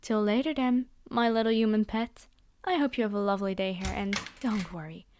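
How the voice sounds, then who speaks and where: A woman's voice: medium pitch, a little fast, heavy background noise, close to an everyday voice. A woman playing a commanding vampire girl in an ASMR roleplay, speaking directly to her human captive with condescending, teasing reassurance. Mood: brisk, softened. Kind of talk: scripted ASMR roleplay monologue.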